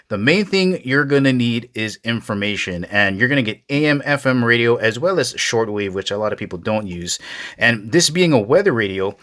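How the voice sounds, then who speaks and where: A man's voice: medium pitch, fairly bright tone. A man hosting a casual hands-on gadget review, talking plainly and directly to viewers in a folksy way. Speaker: product reviewer, video host.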